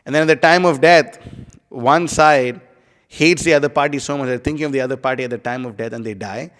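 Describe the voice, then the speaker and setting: A man's voice: medium pitch, a little fast, fairly bright tone. A man giving a casual scripture class, rambling with many fillers as he explains. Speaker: class speaker. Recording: live stream in a reverberant hall.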